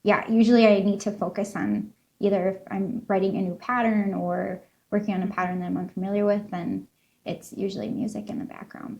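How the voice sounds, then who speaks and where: A woman hosting a casual interview, warmly affirming and chatty, posing long questions. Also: medium pitch. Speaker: interview host.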